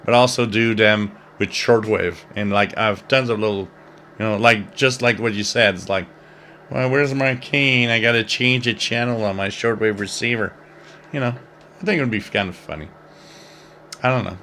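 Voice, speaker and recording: Bright-voiced man in his fifties, noisy stream. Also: on the low side, normal speed. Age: 50s. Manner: rambling, jokey, informal. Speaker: host of a live shortwave radio stream. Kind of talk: casual livestream chat, reading out viewer comments.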